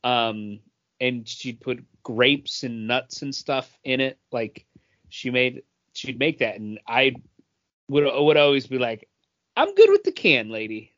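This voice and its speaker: A man's voice: mid-range voice, sing-song, slow pace, fairly bright tone. A man co-hosting a podcast, casually telling anecdotes. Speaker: podcast co-host. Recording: dull, muffled audio.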